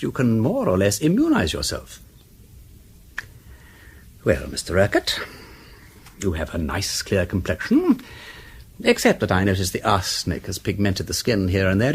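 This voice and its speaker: Casting: witty upper-class English gentleman sleuth for radio drama; hugely animated pitch, slightly husky, drawling mock courtesy. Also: heavily acted. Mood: breezy, pointed. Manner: urbane, teasing, mock-polite, drawling. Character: flippant aristocratic gentleman detective, male, theatrical and witty.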